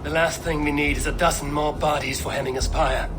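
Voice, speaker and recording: A resolute Viking warrior played by a man in a game cutscene. The voice sits a little high for a man and the delivery is measured and solemn, with old-fashioned phrasing. Clear ambient noise runs behind it. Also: little pitch movement, close to an everyday voice. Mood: firm, urgent. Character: loyal, steadfast Viking warrior, protective and resolute. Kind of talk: video game cutscene acting.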